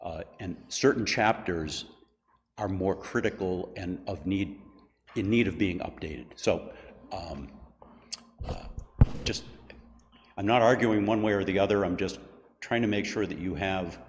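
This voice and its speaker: A man explaining options to a town committee in a measured, hedging way with many fillers and restarts. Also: low voice. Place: town hall meeting room. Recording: reverberant hall, faint background noise.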